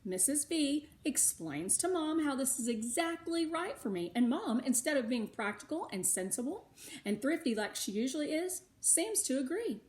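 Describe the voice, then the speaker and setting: A woman with an American accent reading a storybook aloud for schoolchildren in an animated, emphatic way. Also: high voice, normal speed. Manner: animated, emphatic, with occasional asides. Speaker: read-aloud narrator for schoolchildren. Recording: roomy, echoing indoor sound.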